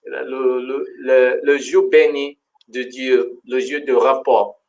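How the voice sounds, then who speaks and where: A man's voice: mid-range voice, little pitch movement, takes it slowly, creaky, darkish tone, low-quality recording. A man co-hosting a French-language live stream, chatting casually, laughing often and restarting his sentences; French is not his first language. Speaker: co-host of a livestream.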